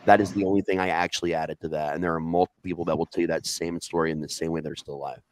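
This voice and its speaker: A man's voice: deep voice, fairly even intonation, no room sound. A man who tells stories, chatting bluntly and profanely on a video call. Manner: blunt and profane, fast-talking. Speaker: storyteller. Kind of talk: casual chat on a video call.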